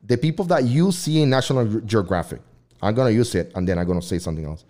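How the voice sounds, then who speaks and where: A man's voice: on the low side, lively intonation, fast talker, a little mellow. Rambling, swearing man host. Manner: rambling, frequent "you know" and restarts; swears casually. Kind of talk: casual debate chat.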